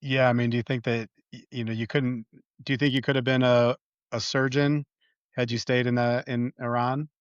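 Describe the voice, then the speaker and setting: A man's voice: medium pitch, level tone, normal speed, clear, resonant tone, dry recording. Man hosting, dull lo-fi sound. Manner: friendly, loose, trailing restarts. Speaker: podcast host, dentist. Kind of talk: casual interview talk.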